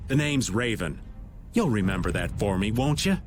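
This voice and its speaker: Man voicing a laid-back game fighter, offhand drawl, lively pitch, music behind. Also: normal speed, close to an everyday voice. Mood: wry. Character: laid-back wisecracking middle-aged male fighter.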